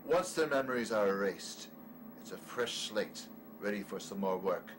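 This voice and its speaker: An actor playing a manic, cruel, grandiose doctor in a horror audio drama, ranting and sneering, swinging from outbursts to mutters. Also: male voice, lowish voice, animated, normal speed, over music, natural voice, rough recording. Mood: grandiose lecturing.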